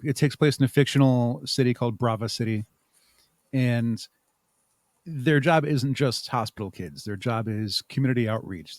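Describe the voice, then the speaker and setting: Guy being interviewed on a podcast, thoughtful explainer, medium pitch, very dry sound. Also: a little mellow, no room sound. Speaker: podcast guest and audio drama creator. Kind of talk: casual interview talk.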